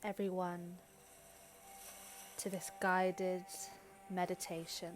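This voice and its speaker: A woman leading a guided meditation in gentle, soothing, unhurried short phrases with long pauses. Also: on the low side, little pitch movement, a little hiss or hum. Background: background music.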